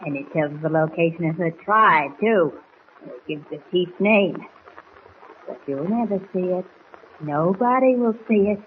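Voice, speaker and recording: A woman's voice: on the low side, a little slow, close to an everyday voice. An actress playing a half-mad, bitter woman villain in a 1940s radio drama, menacing, mocking and melodramatic. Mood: cold menace. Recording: tinny, noisy 1940s radio recording.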